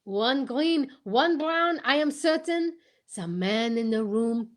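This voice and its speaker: A woman playing a courteous, self-assured male detective, theatrical and deliberate, in a radio play. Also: sits high, expressive. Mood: explaining. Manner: theatrical, deliberate, tag-questioning. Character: courteous, self-assured male French-speaking detective explaining his case. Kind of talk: amateur old-time radio play reading.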